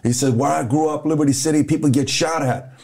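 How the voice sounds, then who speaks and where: Middle-aged man from Iran, relaxed warm voice, casual podcast guest who plays out both sides of a story. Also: fairly high pitch, fast, gravelly. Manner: animated storyteller who acts out dialogue.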